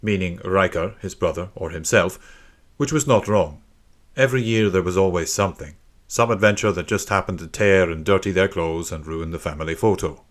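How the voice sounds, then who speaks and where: Low-voiced man narrating a children's story. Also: fairly quick. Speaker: audiobook narrator.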